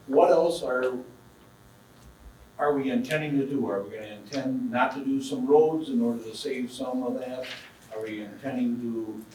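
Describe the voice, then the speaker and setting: A man's voice: medium pitch, normal speed, a little mellow, a little hiss or hum. A man serving as county supervisor, asking plain-spoken, rambling questions at a public meeting. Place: council chamber. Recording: distant mic in a very echoey hall.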